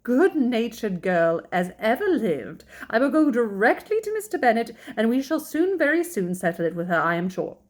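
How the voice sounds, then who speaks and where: A woman's voice: fairly low pitch, flat delivery, fairly quick, few pauses, natural voice. A woman voicing a flustered, melodramatic middle-aged mother from a classic novel, insistent and full of exclamations. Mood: alarmed, coaxing.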